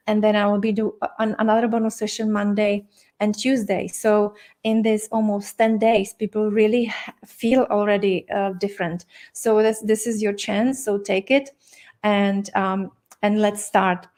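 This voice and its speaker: A middle-aged woman with a Czech accent hosts a live-streamed health workshop. Her pitch sits in the middle and stays fairly level. She talks in a friendly way, often pausing on fillers and starting sentences over. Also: darkish tone. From Czech Republic. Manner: friendly, many fillers and restarts, occasional laughs. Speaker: doctor and health coach hosting an online masterclass. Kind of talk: live-streamed teaching session.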